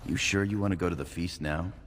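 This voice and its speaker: An actor playing a gruff, plain-spoken male dwarf warrior, a loyal companion, with a raspy voice in a video game. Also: low voice, fairly even intonation, quick pace, long reverb tail, a little hiss or hum, lightly acted. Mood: eager. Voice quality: gravelly, raspy.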